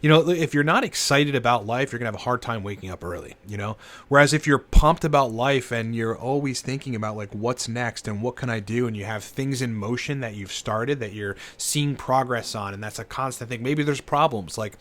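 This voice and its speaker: A man's voice: medium pitch, brisk, a bit bright. Rambling, cursing man in his late thirties. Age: 30s. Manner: rambling, profane, fillers like "you know" and "like". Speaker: channel host, entrepreneur. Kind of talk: casual unscripted monologue to camera.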